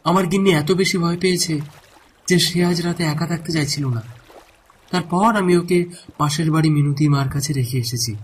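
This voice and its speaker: Male actor as cartoon fisherman, high-pitched, worried, bewildered, hesitant, faint noise behind. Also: lightly acted. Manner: hesitant, repeating words.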